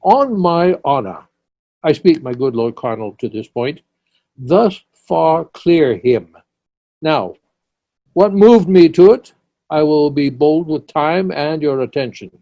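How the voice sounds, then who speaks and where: Lordly, self-assured king played by a man: higher, mellow voice, emphatic amateur verse reading, muffled call with music. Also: expressive, slow, no echo. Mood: frank, emphatic. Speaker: amateur actor in an online play reading. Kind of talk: amateur Shakespeare read-aloud. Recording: dull online call with music.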